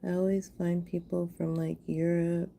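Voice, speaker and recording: American woman, very soft dark tone, gravelly creak, affectionate livestream chat, appreciative, faint room noise. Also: on the low side, little pitch movement, moderate pace. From Houston, Texas, USA. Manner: affectionate, appreciative, addresses viewers directly. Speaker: artist hosting a live art stream. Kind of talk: casual livestream chat with viewers while making art.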